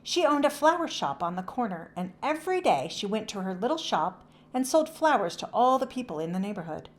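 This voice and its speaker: Expressive woman reading a children's storybook. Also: medium pitch, a little fast. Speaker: children's book read-aloud narrator. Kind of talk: scripted storybook narration for children.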